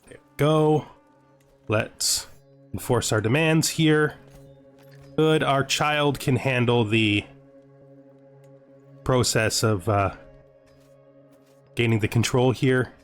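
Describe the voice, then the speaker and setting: A man's voice: mid-range voice, fairly even intonation, slow pace, pauses often, clean tone. A man hosting a let's play, thinking aloud as he comments, with playful mock-menacing asides. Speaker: host of a gaming video series. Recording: music behind the voice. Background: music.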